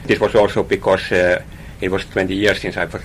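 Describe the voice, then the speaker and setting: A man's voice: deep voice, flat delivery, fairly quick, slight background noise. A man from Finland, a guest in a radio interview, talking about his hobby with many small hesitations and repeated words.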